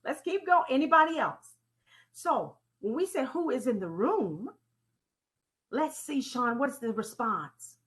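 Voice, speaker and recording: A woman leading an interactive training talk in a deliberate, teacherly way, putting questions to her audience and repeating key phrases. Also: high-pitched, animated, fairly bright tone. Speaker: presenter leading a training session. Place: online conference call with slides.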